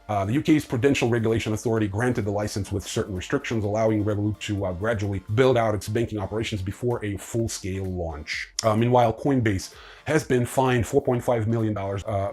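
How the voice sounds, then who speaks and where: A man with a lowish, husky voice hosts a crypto news roundup. He reads headlines with lots of "uh" fillers and breaks off for dry, sarcastic remarks. The tone stays low-key and conversational. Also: a little mellow. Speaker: news host.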